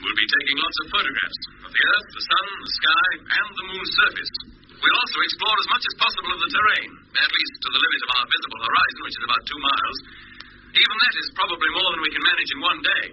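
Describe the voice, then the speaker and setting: An actor playing an earnest rocket captain speaking from the Moon in 1950s radio drama style, on a hissy vintage recording. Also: male voice, on the high side, expressive, fast, lightly acted. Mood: businesslike.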